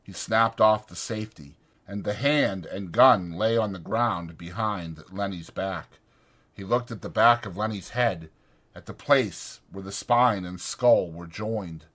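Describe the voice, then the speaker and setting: A man narrating an audiobook dramatically, in a measured and deliberate way. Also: on the low side, fairly bright tone.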